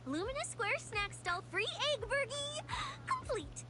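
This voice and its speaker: High, lively thrifty foodie girl. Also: unhurried, background noise, full character voice. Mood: playful, secretive. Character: thrifty, food-loving young woman, playful and a little proud. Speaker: game character. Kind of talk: video game voice acting.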